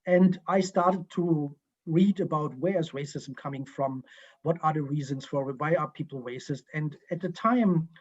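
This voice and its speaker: A man with a German accent answers questions over a video call, choosing his words slowly and filling pauses with ums and uhs. His voice sits a little high. The call audio is dull and muffled. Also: a little mellow. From East Germany. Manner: halting, many ums and uhs, restarts. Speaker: guest historian.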